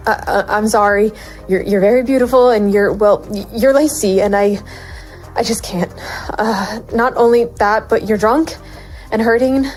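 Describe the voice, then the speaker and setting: A woman's voice: medium pitch, normal speed, a little mellow, faint music behind, lightly acted, low-quality recording. A woman playing a brooding, conflicted private investigator narrating a noir audio drama. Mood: awkward, gentle. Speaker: narrator and lead actor.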